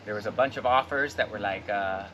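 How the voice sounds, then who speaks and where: A man's voice: on the low side, brisk, a little hiss or hum. A man from Portland, Oregon, casually telling his story as a cacao farm owner with lots of fillers. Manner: relaxed, rambling, frequent fillers. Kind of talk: casual guided tour and life story. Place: outdoors on a farm.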